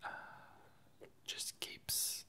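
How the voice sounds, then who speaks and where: Slow, whispering ASMR woman. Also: sharp tone. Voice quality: breathy whisper. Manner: slow, halting, with long pauses. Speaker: ASMR video creator. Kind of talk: whispered ASMR casual chat.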